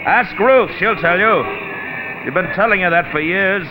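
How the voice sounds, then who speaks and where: A calm, commanding doctor. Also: male voice, high-pitched, unhurried, music in the background. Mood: stern. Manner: steady and commanding. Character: calm, authoritative male doctor. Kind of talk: 1940s melodrama acting. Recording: noisy old film soundtrack.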